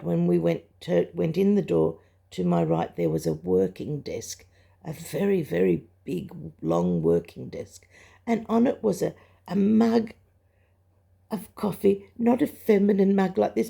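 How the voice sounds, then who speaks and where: An older woman shares a personal testimony in a croaky voice full of heavy fry. Her delivery is slow and halting, with reflective pauses. The tone of the voice is soft-edged and mellow, at an ordinary pitch for a woman. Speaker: religious vlogger sharing a testimony. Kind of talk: personal spoken testimony, recounting a dream.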